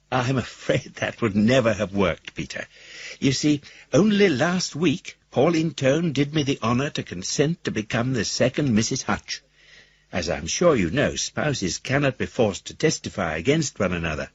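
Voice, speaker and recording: A man's voice: medium pitch, husky, no echo. An actor playing a self-satisfied wealthy businessman in a radio drama, reading out a letter with false cordiality. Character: smooth-talking, gloating wealthy businessman writing to a rival. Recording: dull, muffled radio recording.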